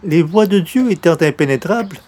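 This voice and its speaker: A grandiose, touchy would-be novelist from a radio comedy, played by a man. His voice sits a little high and his delivery is emphatic and pompous. There is slight noise in the recording. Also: lively intonation, moderate pace, a little mellow. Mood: dry. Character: grandiose, exasperated male newspaper columnist turned would-be novelist. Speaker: lead character of the comedy series. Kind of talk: old-time radio comedy acting.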